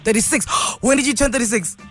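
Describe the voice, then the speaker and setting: A man's voice: extremely high pitch, fairly quick, a bit bright, faint music behind. A man hosting breakfast radio, teasing callers and laughing often. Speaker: radio host. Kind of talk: lively breakfast radio banter.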